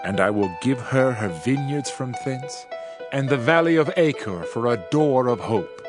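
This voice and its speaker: A man narrating dramatized scripture in a solemn, measured, declamatory manner. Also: medium pitch, expressive. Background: music.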